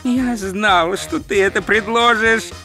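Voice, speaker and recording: A man's voice: sits high, slow pace, bright, forceful tone, soft background music, pitched above the performer's own voice, heavily acted. A man voicing a sour, anxious wizard in a storybook, growling and moaning his complaints. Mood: moaning dread.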